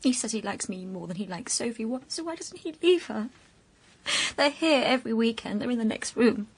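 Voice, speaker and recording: Tearful young woman, breathy and high, pleading. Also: animated, a little fast. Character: emotional young woman Elizabeth, torn lover. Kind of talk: radio drama acting.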